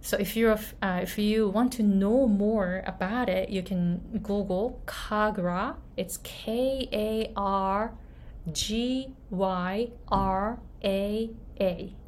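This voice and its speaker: A woman from Japan coaching viewers on their voices, speaking slowly in a mid-range pitch. She talks gently, pausing and restarting her sentences often. A faint hiss sits under the recording. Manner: gentle, halting with many restarts and fillers. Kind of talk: video lesson talking to camera.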